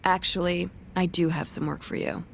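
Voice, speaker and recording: An actress playing a polite, businesslike office colleague with a creaky voice in a video game dub. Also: female voice, fairly low pitch, steady tone, moderate pace, a little hiss or hum.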